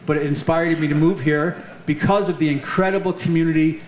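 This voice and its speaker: A man's voice: fairly high pitch, steady tone, a little fast, a little mellow. A man hosting a live show on stage, chatty and upbeat, with many fillers. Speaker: host. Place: off-center stage of an arts center, live audience. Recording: echoey room with faint noise.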